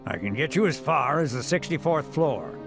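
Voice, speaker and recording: A man's voice: fairly high pitch, lively intonation, moderate pace, gravelly, over music. A man voicing a self-pitying, pompous city mayor in a video game, with theatrical, melodramatic delivery. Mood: bitter, melodramatic.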